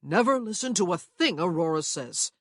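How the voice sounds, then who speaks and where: A woman voicing an earnest, lovesick young gentleman who speaks with emphasis. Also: on the low side, expressive, normal speed, no echo, studio quality. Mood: urgent.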